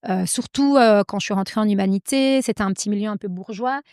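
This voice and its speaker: Une femme belge à l'belge, autrice invitée, qui parle de façon hésitante avec beaucoup de « euh » et de « en fait ». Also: female voice, mid-range voice, quick pace, darkish tone, dry recording, studio quality. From Belgium. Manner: hesitant, many fillers and restarts. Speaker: guest author. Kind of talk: casual interview conversation.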